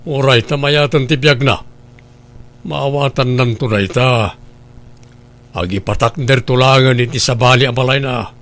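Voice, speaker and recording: Scheming, demanding husband male actor, middle-pitched voice, pushy lecturing radio drama delivery. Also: fairly even intonation, a little slow, long pauses, fairly bright tone. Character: Lando, pushy scheming husband, demanding money from brother-in-law. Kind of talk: Ilocano radio drama acting.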